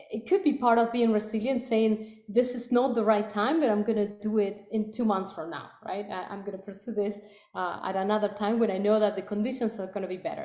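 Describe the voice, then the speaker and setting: A woman's voice: mid-range voice, mellow. Spanish-accented woman in an echoey room. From Latin America. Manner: hesitant, frequent fillers and restarts. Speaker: product leader. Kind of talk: panel conversation.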